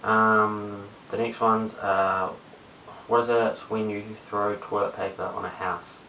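A young man in his late teens with a New Zealand accent, chatting casually to camera in a low-key, hesitant mumble. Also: level tone, takes it slowly, bright, forceful tone. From Christchurch, New Zealand. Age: young adult, late teens. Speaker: amateur video blogger. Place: small bare room indoors. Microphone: webcam at a short distance. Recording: roomy, distant webcam mic with hiss.

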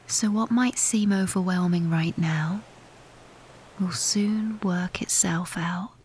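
Slow, whispery woman guiding meditation. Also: medium pitch, low-quality recording. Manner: calm, soothing, unhurried with long pauses. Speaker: meditation guide. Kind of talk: scripted guided meditation. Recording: steady background hiss.

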